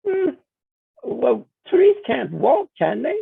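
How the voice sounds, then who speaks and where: A woman's voice: high-pitched, very animated, slow pace, creaky, breathy edge, full character voice. A woman playing a doubtful, hesitant hobbit companion in a script table read. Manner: hesitant, questioning. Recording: tinny phone-like call audio.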